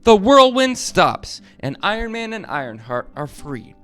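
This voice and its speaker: A man reads a children's book aloud. His voice is a little high and swings widely with dramatic, expressive emphasis. Soft music plays underneath. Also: a little slow. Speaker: storybook narrator. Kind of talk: animated children's read-aloud narration.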